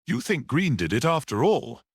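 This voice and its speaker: A practical police detective voiced by a male narrator in a mystery audiobook. He sounds sceptical and challenging, with animated pitch. The recording is dry and close. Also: normal speed, creaky, slightly rough, dry recording, full character voice. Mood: startled, challenging. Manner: challenging, questioning. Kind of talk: dramatic audiobook character reading.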